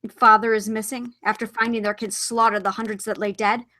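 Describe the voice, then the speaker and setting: A woman's voice: a little high, level tone, a little fast, sharp tone. Woman doing a weary warrior with an accent. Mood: grim. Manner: stern, with a put-on accent. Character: grim young warrior lord, weary and cautious.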